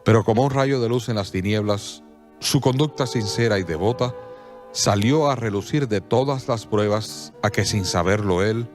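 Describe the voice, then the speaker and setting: A man narrating a radio drama in a measured storytelling manner, with soft violin music behind. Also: lowish voice.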